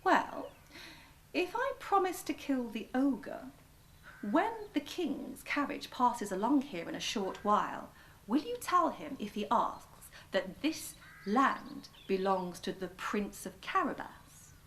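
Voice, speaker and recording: A woman's voice: on the high side, very animated, slow, a bit bright, exaggerated voice. A woman voicing a crafty male cat who stammers nervously and coaxes slyly, in a read-aloud storybook. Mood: polite scheming. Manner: stammering, cajoling.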